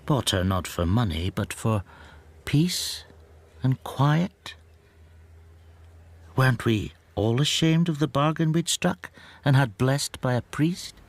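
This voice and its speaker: An actor playing a timid foreign stamp dealer in a radio drama, speaking softly and brooding. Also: male voice, medium pitch, expressive, slow pace, long pauses. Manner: soft, subdued, brooding.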